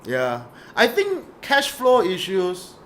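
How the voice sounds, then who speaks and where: A man's voice: high voice, wildly swooping pitch, slow, a bit bright, slight background noise. A young man with a Singaporean accent hosting a casual podcast chat, telling stories with many restarts and fillers. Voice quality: says he has a radio voice. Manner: rambling, storytelling, frequent restarts and fillers. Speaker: podcast host. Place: small podcast room. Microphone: studio podcast mic.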